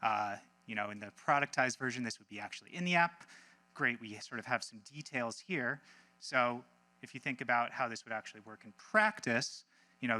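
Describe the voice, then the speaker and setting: A man giving a casual live software demo as a team presenter, informal and breezy with wry asides. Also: medium pitch.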